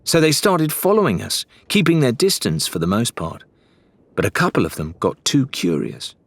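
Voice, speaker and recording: A man's voice: mid-range voice, wildly swooping pitch, normal speed, no echo, voice pushed up. A man voicing a spirited, earnest pirate woman in an audiobook, a little defensive in manner. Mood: explaining.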